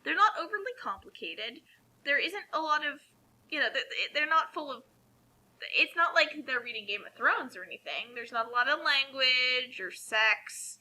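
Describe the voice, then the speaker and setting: A woman giving casual commentary on a reading, explaining things in a halting, conversational way. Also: high-pitched, expressive, bright, forceful tone, low-quality recording. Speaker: co-host of a group fanfiction reading.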